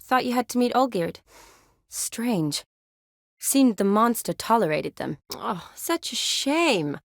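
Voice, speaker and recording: A woman's voice: a little high, very animated, slow, gravelly, no echo, studio quality, heavily acted. An actress voicing a spirited, teasing young woman medic in a fantasy video game, conversational and wry. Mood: playful.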